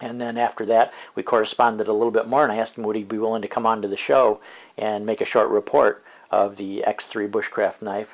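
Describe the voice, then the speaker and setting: An older man with a lowish voice hosts his own show from a small room at home. He rambles along easily, dropping fillers as he goes, talking close to a webcam. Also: rough recording. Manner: rambling, relaxed, many fillers. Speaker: show host. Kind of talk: casual video show talk.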